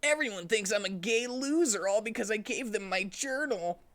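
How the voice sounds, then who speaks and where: A man's voice: sits high, animated, normal speed, fairly bright tone, pitched above the performer's own voice. A man voicing a sulky, melodramatic teenage hedgehog hero with petulant delivery in a dramatic story reading. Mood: angry, sulky.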